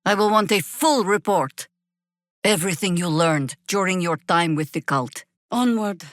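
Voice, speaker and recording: An actress playing a seasoned, middle-aged druid woman in a video game, a wry and stern resistance fighter who speaks with measured, commanding dryness. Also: lowish voice, no room sound, studio quality, lightly acted. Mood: earnest, resolute. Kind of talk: video game character dialogue, dramatic acting.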